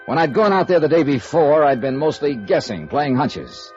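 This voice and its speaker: A man playing a tough, no-nonsense insurance investigator in a radio noir drama, brisk and clipped in delivery. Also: fairly high pitch, very animated, darkish tone, faint music behind. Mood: brisk, businesslike. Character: hard-boiled, no-nonsense male freelance insurance investigator. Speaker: lead actor and first-person narrator. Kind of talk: 1950s radio noir acting. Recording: dull, lo-fi vintage radio recording.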